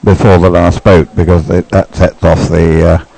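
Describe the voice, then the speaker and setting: A man's voice: deep voice, flat delivery, normal speed, gravelly, warm tone, no room sound, low-quality recording. A man giving live race commentary in a rambling, hesitant, informal way, explaining as it goes. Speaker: sports commentator.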